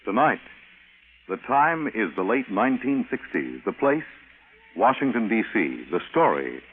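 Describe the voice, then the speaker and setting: A man's voice: lowish voice, very animated, measured pace. A man announcing a 1950s radio drama in a theatrical, portentous scripted style. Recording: tinny vintage radio broadcast with music.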